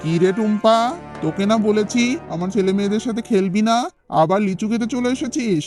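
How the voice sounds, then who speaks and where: A mean tigress mother played by a woman from a children's cartoon, scolding harshly at a quick pace. She sounds scornful, with faint music behind her. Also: medium pitch, darkish tone, close to an everyday voice. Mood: scornful, angry.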